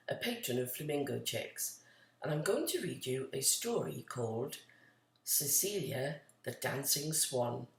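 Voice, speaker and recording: A woman reading a children's story aloud as a storyteller, with an expressive, deliberate delivery and clear pauses. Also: a bass voice. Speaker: storyteller, charity patron. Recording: roomy, echoey, distant from the mic.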